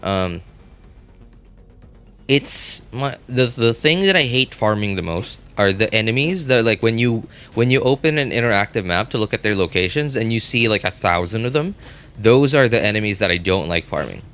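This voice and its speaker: A man chatting casually as a game livestreamer, thinking aloud in a halting way and often repeating himself. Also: lowish voice, lively intonation, pushed and bright, clear, resonant tone.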